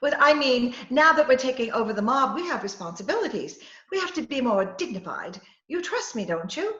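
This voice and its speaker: A woman's voice: high-pitched, very animated, fairly quick, sharp tone, full character voice. A woman playing a scheming, coaxing woman who poses as a poisoner gangster, with breezy melodramatic patter in a 1940s-style radio comedy. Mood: coaxing, nervous. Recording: roomy, slightly dull video-call recording.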